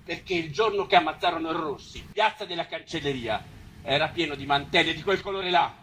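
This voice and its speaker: A man acting as a Roman storyteller with a fairly high voice and a Roman accent. He recounts city history in an animated stage manner, with faint noise around him. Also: fairly quick. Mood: insistent. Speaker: stage actor narrating. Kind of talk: theatrical storytelling in dialogue.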